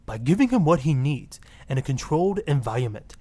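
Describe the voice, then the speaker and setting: A man's voice: medium pitch, sing-song, brisk, darkish tone. A man voicing a self-righteous, grandiose marriage guru with a put-on Latin accent on a parody radio talk show. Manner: grandiose, preachy, easily offended.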